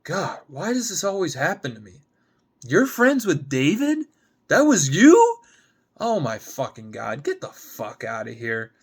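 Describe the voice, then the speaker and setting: A man's voice: fairly high pitch, wildly swooping pitch, a little slow, husky, pitched above the performer's own voice, full character voice. A man voicing a hungover, sarcastic, guarded young woman in a dramatic script reading, blunt and foul-mouthed. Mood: outraged. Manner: sardonic, blunt, swearing.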